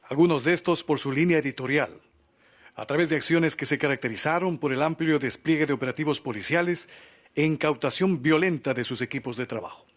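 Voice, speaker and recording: A man's voice: fairly high pitch, fairly quick. A man, a channel representative reading a formal statement at a hearing. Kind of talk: formal prepared statement, read aloud.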